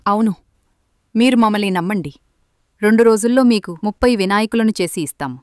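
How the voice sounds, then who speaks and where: A woman playing a gentle, grateful poor village wife and mother in a moral story cartoon. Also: mid-range voice, quick pace, dry recording, clean studio sound, natural voice. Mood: confident. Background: background music.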